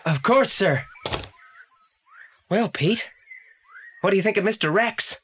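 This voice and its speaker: Casting: brainy teen sleuth, formal and wordy, crisp bright delivery with lively intonation, audio play, slight background noise. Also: male voice, high voice, takes it slowly, exaggerated voice. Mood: polite, analytical. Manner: precise, formal, long-winded. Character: pompous, unflappable teenage boy detective, highly articulate. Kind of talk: audio drama acting.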